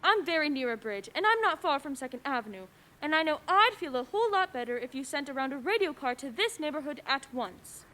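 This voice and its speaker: A woman's voice: high-pitched, sing-song, brisk, bright, forceful tone, full character voice. A woman playing a fretful, haughty bedridden wife in a suspense radio play, agitated and insistent. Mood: indignant, demanding.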